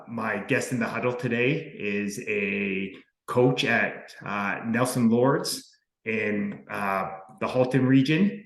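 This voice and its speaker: A man hosts a podcast in a lowish voice, earnest and upbeat. He leans on motivational sayings. The sound has a roomy echo. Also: a bit bright. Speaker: podcast host.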